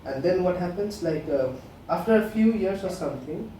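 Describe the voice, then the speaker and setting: A man's voice: high voice, animated, normal speed, warm tone. A man, co-hosting a podcast in casual chat, earnest and lecturing, full of "uh" and "you know". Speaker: podcast co-host. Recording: echoey room, far from the mic, faint hiss.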